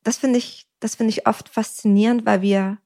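A woman's voice: a little high, steady tone, moderate pace, warm tone. Woman, therapist, podcast conversation, reflective, everyday examples, frequent ums. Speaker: couples therapist.

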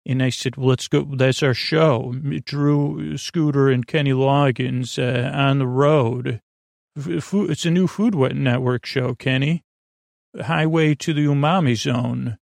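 A man hosting a sleep podcast with a creaky voice, rambling in a soothing, meandering, silly way and fumbling his words as he improvises. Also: medium pitch, steady tone, a little slow, a little mellow, no echo. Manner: soothing, lulling. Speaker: podcast host.

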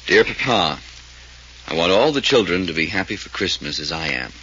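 A man reads a letter aloud in a very low but bright voice. It is a 1940s radio recording, dull with faint hiss. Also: expressive, moderate pace.

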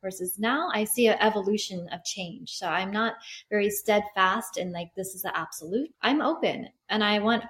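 A woman appears as a guest on a podcast, speaking as a hypnotherapist. She talks in an open, easygoing way at a mid pitch, often restarting her sentences. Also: normal speed, a bit bright. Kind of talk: casual interview chat.

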